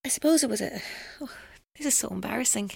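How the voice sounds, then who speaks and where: A troubled young Irish woman in an audio drama. Her voice is husky and close, with a dry sound. She is guarded and halting, breaking off as she speaks. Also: on the high side, lively intonation, darkish tone, no echo, heavily acted. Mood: flustered, embarrassed. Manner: hesitant, halting, guarded, breaking off mid-sentence. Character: tormented, evasive young woman giving police her story. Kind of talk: naturalistic audio drama acting.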